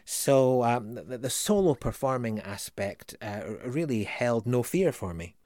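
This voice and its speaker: A man reflecting on his work in a steady, measured voice, laying out his points one at a time. His voice sits mid-range, and the recording is dry and close. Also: mid-range voice, no echo. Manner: measured, listing words one by one. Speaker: co-writer and solo performer. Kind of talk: reflective interview talk.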